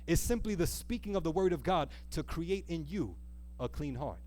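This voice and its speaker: Male preacher, higher-pitched voice, earnest exhortation, rhetorical questions, repeated phrases, deliberate emphasis on key words. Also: lively intonation, a little mellow, a little hiss or hum. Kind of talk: sermon with a closing appeal.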